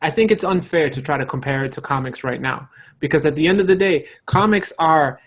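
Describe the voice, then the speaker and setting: A man's voice: on the high side, fairly quick. A man on a casual podcast panel, jumping in to make his case and checking that the others follow. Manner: interjecting, checks for agreement. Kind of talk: casual debate.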